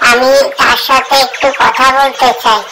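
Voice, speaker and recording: A woman's voice: sits high, flat delivery, unhurried, heavy background noise. A woman voicing an earnest, pleading bird looking for a nest in a cartoon story.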